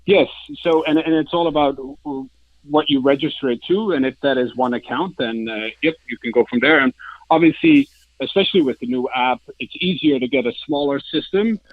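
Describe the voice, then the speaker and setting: A man from the Netherlands with a Dutch accent, answering interview questions as a sales director in a halting, hesitant way. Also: mid-range voice, no echo, narrow, tinny audio. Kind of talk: informal interview answers, product pitch.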